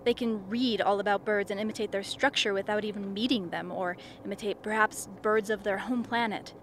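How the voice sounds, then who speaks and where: A woman acts a concerned expedition member in a radio play, always questioning. Her pitch is middling and there is faint noise behind her. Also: fairly even intonation, brisk, natural voice. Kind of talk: amateur radio play acting.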